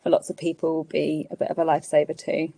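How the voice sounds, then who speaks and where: A woman's voice: low-pitched, completely flat delivery, fast talker, creaky, mellow. A woman from the UK hosting a live Q&A, encouraging and slightly hesitant in her phrasing. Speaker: host. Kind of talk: casual live Q&A.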